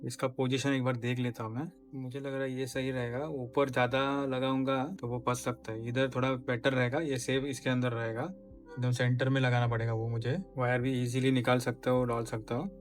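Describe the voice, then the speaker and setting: A man's voice: mid-range voice, level tone, brisk, soft background music. Man giving a casual step-by-step tutorial. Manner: step-by-step explaining, giving tips. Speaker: motorcycle vlogger. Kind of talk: casual hands-on installation tutorial.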